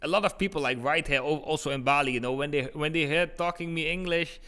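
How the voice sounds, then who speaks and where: Male livestream host, eastern Dutch accent, native Dutch speaker. Slightly high, crisp voice for informal live market commentary aimed straight at viewers. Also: fast talker, bright, forceful tone. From eastern Netherlands. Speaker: crypto trading livestream host.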